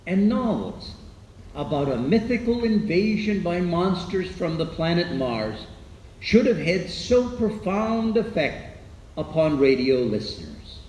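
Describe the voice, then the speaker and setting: Radio docudrama role: contrite man at a press conference, slow and halting, reverberant hall. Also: high voice, a little mellow, heavily acted. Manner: hesitant, halting, solemn. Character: shaken apologetic young radio dramatist at press conference. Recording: echoey hall, faint noise.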